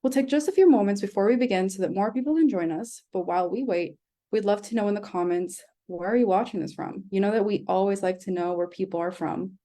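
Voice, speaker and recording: A woman's voice: medium pitch, quick pace, dark tone. A woman from El Salvador moderating a live online session, reading upbeat scripted introductions. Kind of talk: live webinar hosting.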